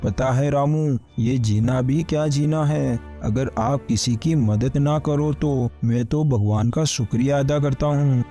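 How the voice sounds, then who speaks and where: Sly moneylender male actor: falsely generous, unctuous, mid-pitched and mellow, for an animated village tale. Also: measured pace, hardly any pauses, soft background music, close to an everyday voice. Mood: oily, self-righteous. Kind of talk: Hindi animated bedtime story dialogue.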